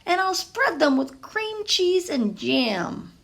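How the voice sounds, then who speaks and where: A woman reading a storybook and voicing an eager, expressive young boy from a family of bakers. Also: very high-pitched, slow, vocal fry, voice pushed up, full character voice. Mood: excited.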